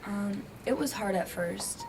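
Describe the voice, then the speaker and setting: A young woman whose first language is Greek, a graduating student answering interview questions hesitantly with many pauses. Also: mid-range voice, gravelly. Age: young adult. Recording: noisy background.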